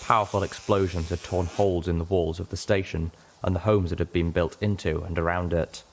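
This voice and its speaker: A man's voice: deep voice, steady tone, fairly quick, slight background noise. A man reading a scripted first-person story as an audiobook narrator.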